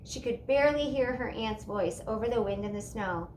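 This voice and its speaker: Female storybook reader, fairly high voice, expressive telling, slight rumbly background noise. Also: moderate pace, rough recording. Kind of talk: expressive children's story narration.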